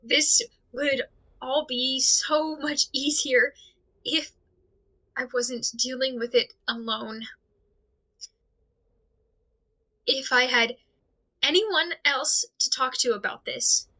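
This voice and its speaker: Audio drama lead: anxious amateur investigator , played by a woman, higher voice with a tense, sharp edge, halting and stammering monologue. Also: heavily acted, rough recording. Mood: weary, overwhelmed. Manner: halting, stammering, rambling, fretful. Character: anxious, overwhelmed amateur investigator talking through a dilemma alone.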